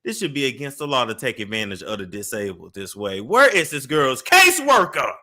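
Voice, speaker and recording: A man's voice: mid-range voice, very animated, a little fast, pushed and bright. A young man hosting a gossip commentary show, laughing and mocking the clips he reacts to. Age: young adult. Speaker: commentary host.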